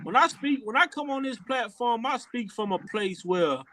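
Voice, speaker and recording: A man with a strikingly high voice hosts a casual opinion show. His tone is bright and forceful, and he talks earnestly, circling back on his words as he builds each point. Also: expressive, normal speed. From rural Louisiana, USA. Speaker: podcast host.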